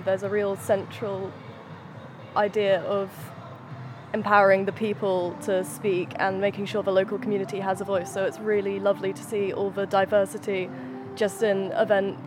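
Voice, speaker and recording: A woman's voice: medium pitch, level tone, moderate pace, over music. A woman interviewing people at an outdoor community event, upbeat and affirming. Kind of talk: casual interview.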